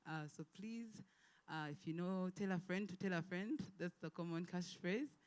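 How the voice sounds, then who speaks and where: A woman's voice: on the low side, normal speed. A woman speaking as an event host, giving an informal, polite and somewhat hesitant welcome speech.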